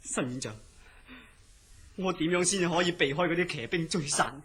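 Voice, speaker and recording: A man's voice: a little high, moderate pace, fairly bright tone, natural voice. Man acting a terrified, pleading hospital patient. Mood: fearful, subdued. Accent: Hong Kong Cantonese. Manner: pleading, overwrought. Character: terrified male mental hospital patient dreading his nightmares. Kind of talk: Cantonese horror radio drama acting.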